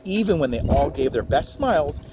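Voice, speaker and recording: A man reading a children's picture book aloud in a playful, expressive way, with chatty asides to his young listeners. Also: on the high side, fairly quick, background noise.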